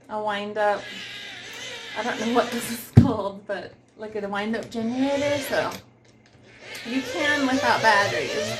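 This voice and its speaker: A woman giving an informal, unscripted product review, giggly and often pausing to search for words. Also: mid-range voice, slight background noise.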